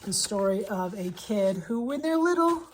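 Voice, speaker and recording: A woman with a husky voice talking as an elementary school teacher in a casual vlog, enthusiastic and conversational, leaning on repetition for emphasis. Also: mid-range voice, animated, moderate pace, a little hiss or hum. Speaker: elementary school teacher presenting picture books. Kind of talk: casual vlog book recommendations.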